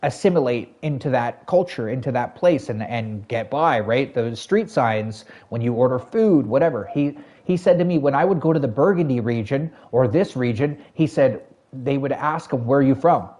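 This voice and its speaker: Casual Canadian male streamer, warm dark tone, chatty storyteller with fillers. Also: medium pitch, lively intonation, normal speed. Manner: rambling, lots of fillers and self-corrections, talks to chat. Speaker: game streamer. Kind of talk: casual storytelling to a live chat.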